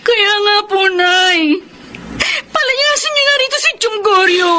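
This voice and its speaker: A high-voiced young daughter. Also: female voice, animated, unhurried, very noisy. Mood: tearful, pleading. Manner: tearful, insistent pleading. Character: grown daughter pleading with her mother. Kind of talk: radio drama acting.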